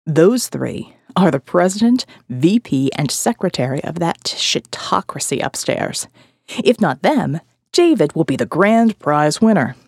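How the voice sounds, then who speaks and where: Woman voicing a blunt, low-pitched cynical survivalist man. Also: creaky, darkish tone, slightly rough, no echo, studio quality, exaggerated voice. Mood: sardonic. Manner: blunt, profane, world-weary. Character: gruff, foul-mouthed man, cynical survivalist leader. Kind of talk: dramatic audio fiction monologue.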